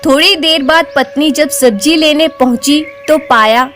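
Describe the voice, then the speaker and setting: A woman narrating a scripted folk tale as a storyteller, in an expressive, measured way. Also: sits high, faint music behind.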